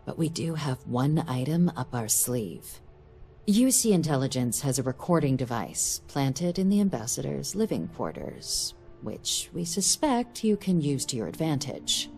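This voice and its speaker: Lady official briefing an agent in a video game, really low warm voice, measured n dry, faint music behind. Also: sing-song, creaky, exaggerated voice. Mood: businesslike. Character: composed, dryly wry woman diplomatic official. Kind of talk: scripted game dialogue, mission briefing.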